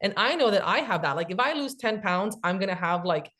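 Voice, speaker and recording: Fast-talking woman in her thirties. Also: medium pitch. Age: 30s. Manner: fast, animated, many fillers, explanatory. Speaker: guest, cookbook author. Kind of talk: casual podcast chat.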